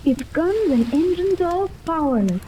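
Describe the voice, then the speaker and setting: A woman's voice: extremely high pitch, very animated, unhurried, warm tone, exaggerated voice. An actress in a 1930s radio serial playing an earnest, anxious young girl who speaks with care. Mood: grave, fearful. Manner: earnest, carefully spoken. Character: earnest, anxious young island girl, long-lost daughter. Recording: muted, faintly hissy 1930s radio recording.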